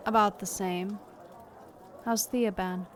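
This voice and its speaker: A woman's voice: medium pitch, steady tone, takes it slowly, lightly acted. A woman plays a candid friend in an audio drama. Her mid-range voice is frank, supportive and conversational, with faint ambient noise behind it. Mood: casual, weary. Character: candid, supportive female friend working in law enforcement.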